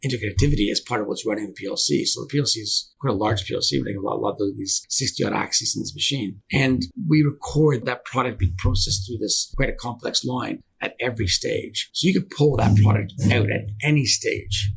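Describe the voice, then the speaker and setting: Male interview guest, company director type. Gravelly, fast delivery, explains technical matters informally with repeated words. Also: on the low side, slight background noise, low-quality recording.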